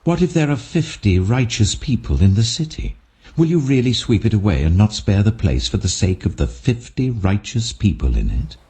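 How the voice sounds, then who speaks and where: A man's voice: lowish voice, mellow, voice pushed up. A British man voicing a very old, humble patriarch who speaks earnestly and deferentially, as if imploring, in a scripture story.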